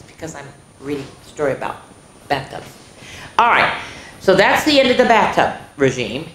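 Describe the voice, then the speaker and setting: Expressive lower-voiced woman reading to children. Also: reverberant, slight background noise, rough recording. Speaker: children's story time host. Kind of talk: animated picture-book read-aloud with chatty asides.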